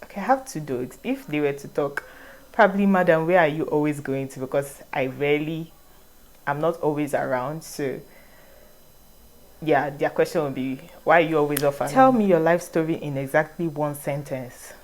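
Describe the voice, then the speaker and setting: Low-voiced Nigerian woman vlogger. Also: normal speed. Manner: reads questions aloud, then answers in a relaxed, rambling way. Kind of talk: casual Q&A vlog.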